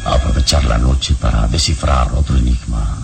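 A man playing a calm, heroic strongman who soothes a wounded beast, in measured, steady radio drama acting. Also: a bass voice, gravelly, soft background music, heavily acted. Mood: resolute.

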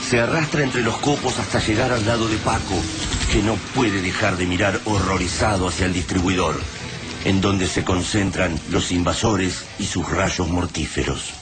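A man's voice: low voice, fairly even intonation, moderate pace. A man reading dramatic scripted narration for a radio drama. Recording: loud music behind the voice.

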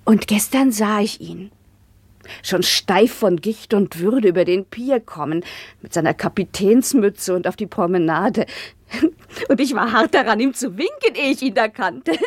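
A woman's voice: medium pitch, animated, a little slow, breathy edge, no echo. An actress playing a teasing, bawdy woman in a radio drama, playful and often laughing as she talks. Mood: teasing, amused. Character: giggly, teasing, bawdy woman reminiscing about lovers. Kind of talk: lively radio drama acting.

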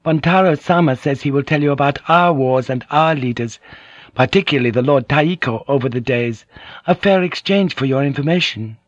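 A man's voice: on the high side, moderate pace, dry recording, highs cut off, pitched above the performer's own voice, close to an everyday voice. A man voicing a polite, squeamish Japanese noblewoman who speaks courteously and hesitantly in an audiobook. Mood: polite, inquiring.

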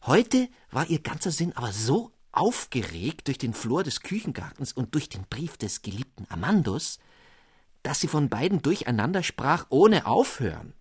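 A man narrating a fairy tale in a scripted, expressive storytelling reading. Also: mid-range voice, slightly rough, dry recording, studio quality.

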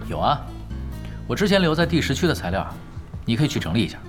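An actor playing a calm, confident young male pro gamer in an audio drama, unhurried and explanatory with light teasing. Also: mid-range voice, close to an everyday voice. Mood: relaxed. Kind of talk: Chinese audio drama acting. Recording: studio voice over clear background music.

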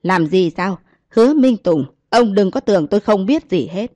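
A woman voicing a domineering old grandmother who shouts and scolds scornfully. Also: mid-range voice, animated, moderate pace, no room sound, muffled recording, lightly acted. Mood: scolding.

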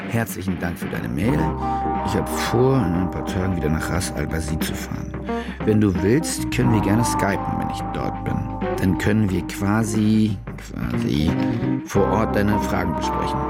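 A man's voice: deep voice, vocal fry, exaggerated voice. An actor playing a cynical, world-weary war reporter who narrates his own story in a dry, confiding way in a radio crime drama. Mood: dry, irritated. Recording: loud music under the voice. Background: music.

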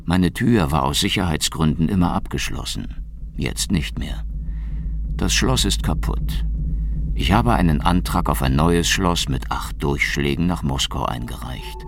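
Gravelly, low-voiced cynical detective. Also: male voice, unhurried, a little hiss or hum, heavily acted. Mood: dry, sardonic. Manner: sardonic, deadpan. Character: cynical, world-weary male Soviet secret police detective. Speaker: narrator and lead detective. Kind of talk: noir radio drama, first-person narration. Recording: music behind the voice.

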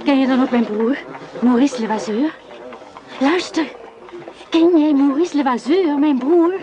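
An actress playing an anxious but determined wife helping as a nurse in a Dutch wartime radio play, earnest and emotional. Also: female voice, high voice, measured pace, a crowd in the background. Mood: worried.

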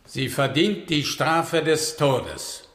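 An actor playing a stern judge in a period radio drama, proclaiming formally and solemnly. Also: male voice, a little high, sing-song, slow pace, heavily acted. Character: stern male judge solemnly pronouncing a death sentence. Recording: echoey hall-like room.

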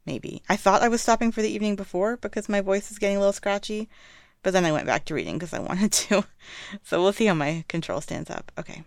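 A slightly hoarse reader narrating fan fiction at a middle pitch. The voice is gravelly yet smooth and resonant, and it drops into casual asides. The recording is close and dry. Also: expressive, quick pace, dry recording. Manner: casual asides between passages. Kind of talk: amateur audiobook reading.

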